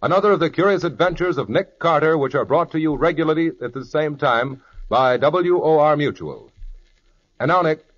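A man announcing the credits of a 1940s radio drama in a crisp, formal broadcast manner. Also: fairly high pitch, moderate pace. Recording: dull 1940s radio recording.

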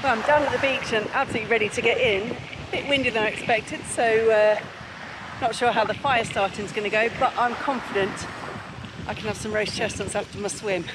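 A woman's voice: fairly high pitch, fairly even intonation, brisk. A middle-aged woman vlogging outdoors on a windy beach, talking upbeat and chatty to an action camera at arm's length. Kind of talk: casual vlog narration. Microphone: action camera held at arm's length.

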